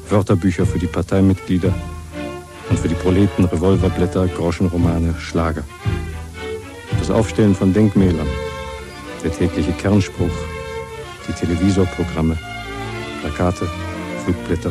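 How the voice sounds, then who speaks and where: An actor narrating a German dystopian radio drama in a solemn, measured, declamatory way. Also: male voice, sits low.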